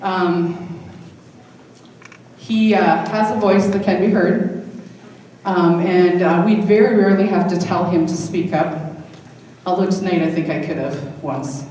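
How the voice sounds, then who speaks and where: A woman's voice: fairly low pitch, unhurried, mellow. A woman, likely middle-aged, giving an informal, affectionate thank-you speech as a school drama director. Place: large indoor hall at a live event. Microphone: distant event microphone. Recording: very echoey hall from far off, faint noise.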